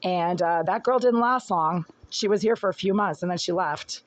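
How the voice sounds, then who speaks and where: A hoarse tenant recording an agitated, rambling complaint on a phone in an apartment, talking while fiddling with the device. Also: mid-range voice, normal speed. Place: apartment indoors. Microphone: phone held by speaker.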